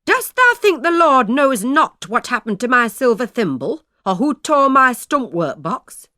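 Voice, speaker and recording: A woman's voice: high-pitched, sing-song, measured pace, bright, forceful tone, dry recording, clean studio sound, pitched above the performer's own voice, heavily acted. A woman voicing a fierce, accusing spinster aunt who speaks in old-fashioned words. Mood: scolding. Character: shrill scolding spinster aunt, older woman.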